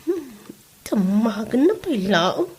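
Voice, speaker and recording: A woman's voice: on the high side, very animated, slow pace, darkish tone, slightly rough, exaggerated voice. A woman acting the part of a fed-up, sarcastic young wife who nags, in a comedy drama. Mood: irritated.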